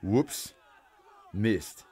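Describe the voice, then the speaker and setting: An actor playing a gruff, menacing Old West sheriff with a folksy drawl, in an audio play. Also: male voice, fairly low pitch, animated, drawn-out words, noisy background. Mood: deadpan. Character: tough, feared Texas sheriff, gruff and menacing. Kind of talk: Western audio play acting.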